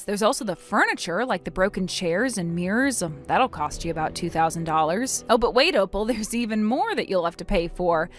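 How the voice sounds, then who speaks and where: A woman voicing a wronged bride in a melodramatic story, stern and businesslike, scolding and explaining firmly. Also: on the low side. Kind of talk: acted dialogue in a melodramatic story.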